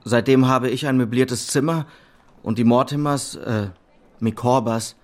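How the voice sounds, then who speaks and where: A man's voice: medium pitch, steady tone, unhurried, close to an everyday voice. An actor as a mild, earnest young man. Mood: matter-of-fact. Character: good-natured, patient, earnest young man devoted to fiancée. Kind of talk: German radio drama acting.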